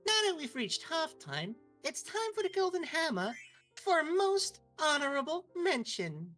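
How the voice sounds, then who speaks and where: A woman acting as a brisk cartoon princess hosting an award show in a story read-aloud, with music behind her. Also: high-pitched, sing-song, fairly bright tone, full character voice. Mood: announcing.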